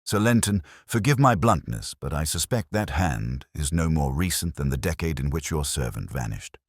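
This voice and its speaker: A man voicing an incisive, commanding English detective in an audiobook, with measured, clipped delivery. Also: very deep voice, sing-song, no room sound, clean studio sound, full character voice. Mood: cool, pointed.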